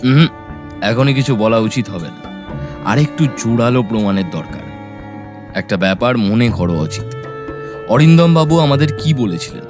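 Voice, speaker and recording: A resolute male detective, played by an actor in an audio mystery drama. He speaks in a mid-pitched voice, firm and clear, reasoning with deliberate care. Light music runs underneath. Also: expressive, close to an everyday voice. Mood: probing, guarded. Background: background music.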